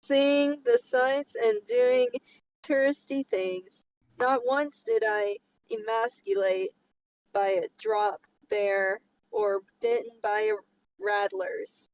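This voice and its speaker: A woman from Texas co-hosts a casual story reading. Her voice sits fairly high and she takes her time over the lines. She is playful and sarcastic, often interrupting herself with chatty asides. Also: steady tone, takes it slowly, a little mellow, clear, resonant tone, poor audio. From Texas, United States. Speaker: co-host reading a story aloud.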